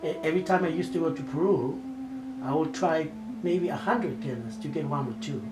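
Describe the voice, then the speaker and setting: A man's voice: on the high side, fairly quick. Spanish-native man explaining, distant, music behind. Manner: eager, explanatory, restarts words mid-phrase. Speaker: quena player. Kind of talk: documentary explanation.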